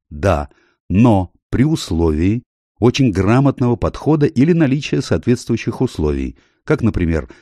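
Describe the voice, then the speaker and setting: Deep-voiced man narrating an audiobook. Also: mellow, no echo, clean studio sound. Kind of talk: scripted nonfiction audiobook narration.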